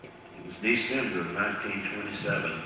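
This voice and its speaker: A low-voiced man, the pastor, reads a Christmas story to his congregation at a measured pace with long pauses. He sits far from the microphone, so his voice rings through a very echoey church sanctuary. A steady background hiss runs beneath it.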